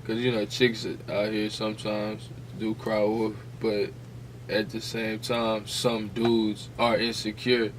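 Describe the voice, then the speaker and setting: A man's voice: fairly low pitch, completely flat delivery, slow, slight background noise, rough recording. An American man co-hosting a podcast in casual, slangy conversation. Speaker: podcast co-host. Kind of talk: casual chat.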